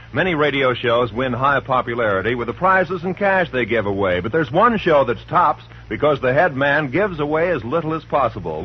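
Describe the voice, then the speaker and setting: A man announcing a 1950s radio program in a smooth, measured delivery. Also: medium pitch. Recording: dull old radio broadcast with faint hiss.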